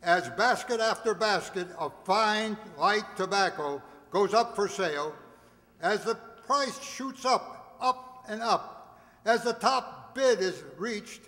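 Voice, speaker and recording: A man playing an earnest, emphatic cigarette pitchman in an old 1940s radio show, declaiming his sales lines. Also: expressive, slow pace, bright, forceful tone, exaggerated voice. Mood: earnest selling. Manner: declamatory sales patter with built-up repetition. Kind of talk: 1940s radio advertisement acting. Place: stage in a hall. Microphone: microphone on a stand. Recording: echoing hall sound.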